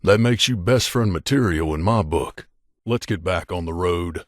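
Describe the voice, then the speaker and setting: A man's voice: low voice, normal speed, heavy background noise, close to an everyday voice. A man playing an earnest, guarded wasteland drifter and loyal companion in a video game, speaking conversationally. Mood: reflective. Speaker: voice actor.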